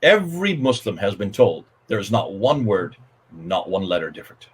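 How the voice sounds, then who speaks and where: An American man speaking as a guest, emphatic and quick to cut in and steer the conversation. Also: lowish voice, big pitch swings, husky. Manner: emphatic, interrupts and takes charge of the conversation. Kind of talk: animated explanatory talk.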